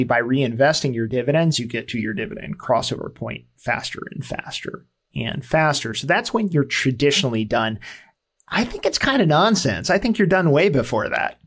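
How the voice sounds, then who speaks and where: A man's voice: mid-range voice, fairly bright tone. A man in his forties, hosting a podcast and explaining ideas in an easygoing, self-deprecating way with frequent fillers. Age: 40s. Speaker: podcast host. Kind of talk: casual explanatory talk.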